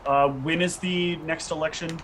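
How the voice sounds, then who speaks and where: Deliberate male roleplayer with faint background noise. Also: fairly high pitch, fairly even intonation, fairly bright tone. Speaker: player in a tabletop roleplaying game. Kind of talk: improvised in-character tabletop roleplay.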